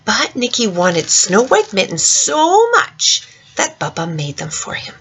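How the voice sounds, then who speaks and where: A woman reads a children's storybook aloud in an expressive, dramatic way, speaking directly to young listeners, with a husky voice. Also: mid-range voice, unhurried. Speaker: storyteller reading a picture book to children.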